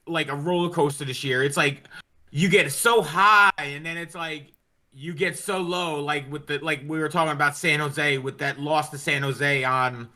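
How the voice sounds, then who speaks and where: High, bright-voiced man on an exasperated rant. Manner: ranting, heavy swearing, exasperated. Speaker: sports podcast host. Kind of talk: casual hockey fan chat.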